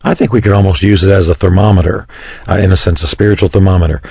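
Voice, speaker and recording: Raspy-voiced fast-talking male radio host. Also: sits low, steady tone, no echo. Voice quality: raspy today. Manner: warm conversational chat, some stumbles. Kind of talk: casual radio chat.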